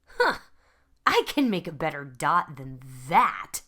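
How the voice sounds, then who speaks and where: A woman's voice: low-pitched, very animated, slow, bright, forceful tone, heavily acted. A woman voicing a bold, emphatic young schoolgirl in a storybook reading. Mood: determined. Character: frustrated, bold young schoolgirl who loves painting.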